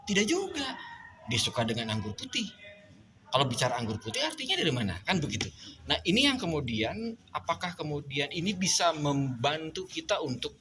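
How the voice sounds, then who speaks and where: Male panelist, halting and searching for words, slightly high voice, noisy room. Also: big pitch swings, fairly bright tone. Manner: halting, many filler sounds, building an argument. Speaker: mime discussion panelist. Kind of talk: panel discussion.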